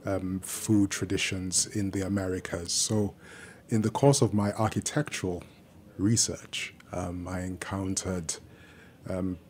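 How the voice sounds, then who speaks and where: A man from Nigeria, a native Yoruba speaker, talking slowly on a podcast and pausing often with ums. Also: low voice, level tone, darkish tone, slight background noise. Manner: slow and measured, many ums and pauses. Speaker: guest, architectural historian. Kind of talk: podcast conversation.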